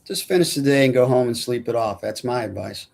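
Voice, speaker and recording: A man's voice: medium pitch, expressive, brisk, creaky, a little mellow. A man reading the part of a casual, skeptical coworker at a film script table read.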